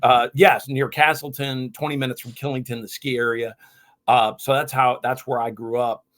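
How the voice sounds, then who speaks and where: A man's voice: mid-range voice, flat delivery, moderate pace, fairly bright tone. A man from Vermont, a guest in a casual interview, telling his story earnestly with many fillers. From Vermont, USA. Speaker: guest, equipment manager.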